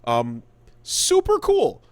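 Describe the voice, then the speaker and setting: Halting man in casual podcast chat. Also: high-pitched, huge pitch swings, darkish tone. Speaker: podcast co-host. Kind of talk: casual podcast chat about video games.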